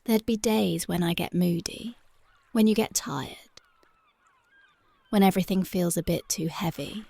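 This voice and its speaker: A voice actress playing a young punk girlfriend, speaking softly and whispering in a dreamy, intimate, affectionate ASMR roleplay close to the mic. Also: on the low side, a little slow, vocal fry, no room sound. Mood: tender dreamy. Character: young punk woman, tender devoted girlfriend.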